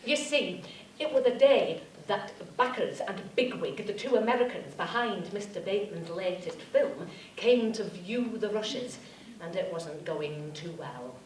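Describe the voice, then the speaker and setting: An actress playing a plain-spoken, loyal working-class film assistant in a live radio theatre parody. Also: female voice, medium pitch, expressive, a little slow, darkish tone, lightly acted. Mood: earnest, reminiscing. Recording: echoey, faintly noisy live theatre recording, distant.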